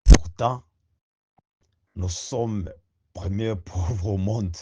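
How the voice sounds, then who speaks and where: A man giving a slow, rousing spoken address to young listeners, full of rhetorical questions. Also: lowish voice, very noisy. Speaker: activist speaker.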